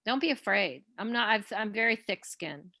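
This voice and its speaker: Bright-voiced playwright, coaxing and eager. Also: female voice, mid-range voice, expressive, normal speed, vocal fry, no room sound, clean studio sound. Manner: eager, encouraging, prone to restarts. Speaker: playwright leading a post-show discussion. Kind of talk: casual online talkback.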